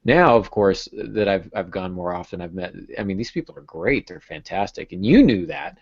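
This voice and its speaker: Male podcast host, thirties, deep pitch, conversational, lo-fi headset call sound. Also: animated, normal speed, husky. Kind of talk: casual podcast discussion on writing. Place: small home room, video call. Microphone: earbud headset. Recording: dull, lo-fi video-call audio.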